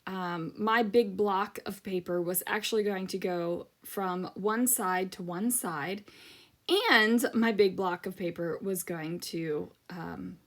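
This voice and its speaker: A middle-aged woman hosting a scrapbooking channel, chatting casually and rambling with lots of ums, her voice a little worn from talking. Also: mid-range voice. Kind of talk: casual crafting chat over a process video.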